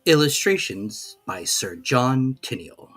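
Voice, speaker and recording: A man reads as an audiobook narrator with lively, wide-ranging intonation. His delivery is theatrical and scripted, set over faint background music. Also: mid-range voice, takes it slowly, breathy edge. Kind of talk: expressive audiobook storytelling.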